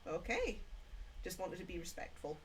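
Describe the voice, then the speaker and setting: A woman streamer chatting in character during a roleplay game, thinking aloud with plenty of ums and pauses. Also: lowish voice, wildly swooping pitch, a little hiss or hum. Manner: hesitant, thinking aloud with many fillers. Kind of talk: in-character roleplay chat while gaming.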